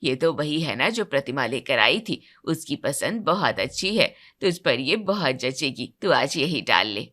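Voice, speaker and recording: Stern, commanding older mother-in-law for a family audio drama, pitched down, forceful tone played by a woman. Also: sing-song, a little slow, few pauses, heavily acted. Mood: bossy. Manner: firm, commanding. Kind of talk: acted dialogue in a Hindi audio story.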